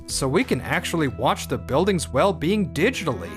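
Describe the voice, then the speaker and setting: A man acting an earnest, animated businessman in an anime-style manga dub. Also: a little high, normal speed. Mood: earnest explaining. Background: music.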